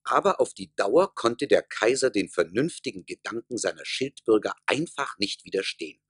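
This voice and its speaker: A man's voice: fairly low pitch, animated, normal speed, husky. A man narrating a radio play as a storyteller.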